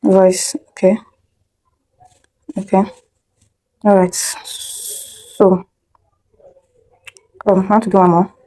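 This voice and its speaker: A woman giving a casual online editing tutorial, thinking aloud and muttering haltingly as she works. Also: mid-range voice, little pitch movement, mellow, low-quality recording. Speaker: instructor of an online video editing class.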